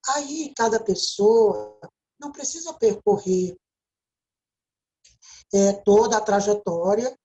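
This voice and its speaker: A woman's voice: medium pitch, slow pace, long pauses, mellow, dull audio. A woman with a Brazilian Portuguese accent gives a recorded video lecture, explaining step by step and often checking in with a tag question. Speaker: teacher.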